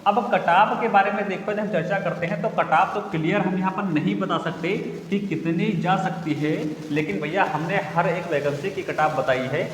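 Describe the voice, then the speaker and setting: Echoey, high-voiced Hindi exam tutor. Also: male voice, normal speed, hardly any pauses, a little hiss or hum. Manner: repeats points as questions to the class. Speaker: exam-prep teacher. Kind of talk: repetitive classroom-style explanation. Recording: echoey room with long reverb, faint ambience.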